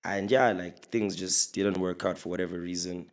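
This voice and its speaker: A young man, a podcast guest, telling stories in a relaxed, casual way and laughing often. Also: deep voice, normal speed. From Zimbabwe. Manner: relaxed, laughs often, mixes in local words. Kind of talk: casual storytelling chat.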